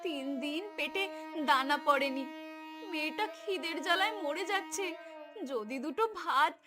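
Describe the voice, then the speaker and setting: A woman's voice: sits high, normal speed, music in the background, natural voice. A woman voicing a poor, humble village mother in a cartoon, pleading and emotional. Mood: weary pleading. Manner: pleading, plaintive. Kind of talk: cartoon voice acting.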